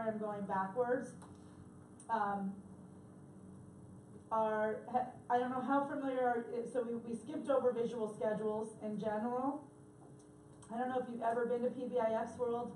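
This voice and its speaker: A woman with a slightly high voice leads a workshop in a chatty, self-correcting way, her voice worn from talking. She is picked up from across an echoey meeting room, with a faint hiss underneath. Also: a little mellow, distant mic. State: losing her voice, coughing. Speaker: workshop presenter. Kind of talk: casual workshop presenting.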